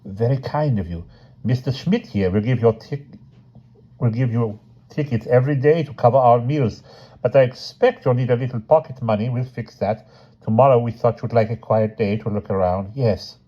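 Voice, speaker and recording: A man's voice: mid-range voice, moderate pace, dark tone, dull audio, pitched above the performer's own voice, close to an everyday voice. A man voicing a fussy, eager young male official who gushes apologetically, partly in a put-on Austrian accent. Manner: apologetic and gushing.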